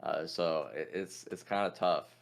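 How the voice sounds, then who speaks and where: A man's voice: low voice, unhurried, creaky. A man chatting casually as a quiz contestant, thinking aloud and hesitantly reasoning through his guesses.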